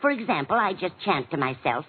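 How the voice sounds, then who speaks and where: A woman's voice: lowish voice, sing-song, fast talker, very noisy. A woman playing a sweet, forgetful older landlady who rambles in a fluttery way in a 1950s radio comedy. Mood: fluttery, dotty. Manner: fluttery, rambling, absent-minded. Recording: tinny vintage radio before laughing audience.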